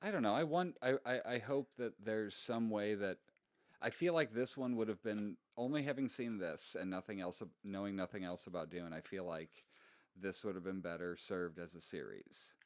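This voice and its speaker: A man's voice: fairly low pitch, no echo. Hesitant man in his forties, dry sound. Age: 40s. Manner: thoughtful, halting, restarts sentences. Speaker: podcast co-host. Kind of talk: casual movie discussion.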